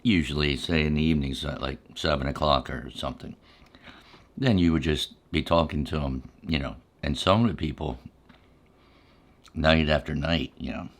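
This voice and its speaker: Very deep-voiced man, unhurried ASMR storyteller. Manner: unhurried, halting, reflective recollection. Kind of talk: ASMR casual storytelling while eating.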